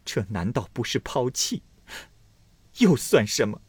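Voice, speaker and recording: A man voicing a gentle young consort, plaintive and wry after being cast aside. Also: medium pitch, big pitch swings, measured pace, breathy edge, no echo, pitched above the performer's own voice, heavily acted. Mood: bitter. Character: gentle rejected consort, young woman, bitter.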